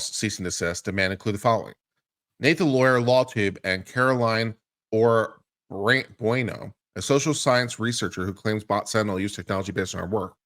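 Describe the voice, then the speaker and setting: A man's voice: mid-range voice, fairly bright tone. A man giving a dramatic reading of a lawsuit, mixing formal reading with casual, profane, incredulous commentary. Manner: profane, incredulous asides between formal read passages. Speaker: legal commentator.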